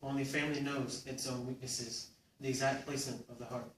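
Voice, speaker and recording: A man's voice: mid-range voice, little pitch movement, brisk, a bit bright. A young man performs scripted lines as a student reader. He is recorded from a distance in an echoey room, with faint noise behind him. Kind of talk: scripted dramatic reading.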